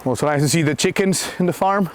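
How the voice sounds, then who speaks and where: Venezuelan-accented man, halting speech. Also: a little high, slight background noise. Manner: halting, searching for words, laughs often. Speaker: guest, co-worker. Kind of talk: casual walking chat. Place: outdoors on a coastal walk.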